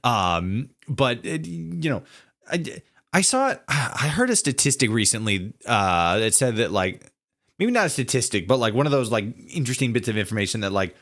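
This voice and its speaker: A man hosting a casual interview, feeling his way haltingly through roundabout questions. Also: mid-range voice, sing-song, a bit bright. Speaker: podcast host, interviewer.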